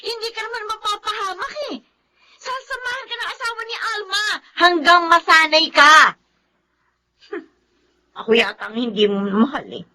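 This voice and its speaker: An actress playing a discontented, materialistic young wife in a Filipino melodrama, whiny and reproachful with a Filipino accent. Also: female voice, very high voice, normal speed, pauses often, bright, forceful tone, full character voice. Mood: exasperated, pleading. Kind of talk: melodramatic Filipino serial drama acting.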